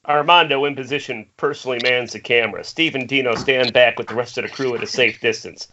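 Bright-voiced man narrating a screenplay. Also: mid-range voice, fairly even intonation, quick pace, a little hiss or hum. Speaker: reader of stage directions. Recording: dull lo-fi internet call.

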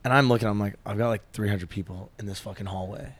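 A man, a guest telling a story in a casual podcast chat, loud and quick to laugh. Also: lowish voice, background noise. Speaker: guest, app co-founder.

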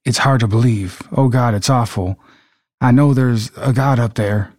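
A man's voice: medium pitch, moderate pace, a little mellow, no echo, lightly acted. A terrified Southern fisherman voiced by a man reading a transcript aloud. He is agitated and folksy, with a mid-range voice and a dry, close sound. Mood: panicked. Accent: put-on Southern US. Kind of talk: amateur dramatic reading of a transcript.

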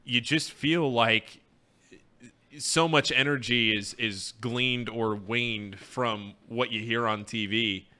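Casting: male sports radio host, very bright and forward voice, crisp tone, easygoing banter. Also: medium pitch, fairly even intonation, takes it slowly. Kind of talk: casual sports talk banter.

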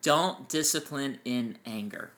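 A man with a loud voice co-hosting a casual parenting podcast, explaining his points with enthusiasm. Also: medium pitch, slow. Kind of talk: casual chat. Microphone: farther from mic than co-host.

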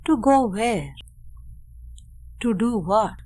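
A woman leading a guided meditation in a measured, deliberate way, speaking in short phrases with long pauses. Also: a little high, lively intonation, darkish tone. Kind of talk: guided meditation with Sanskrit chanting and teaching.

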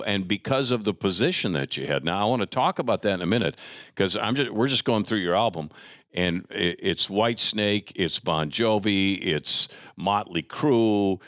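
A man hosting a casual interview podcast in a chatty, folksy, somewhat halting way. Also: on the low side, lively intonation, a bit bright, dry recording, studio quality. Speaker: podcast host.

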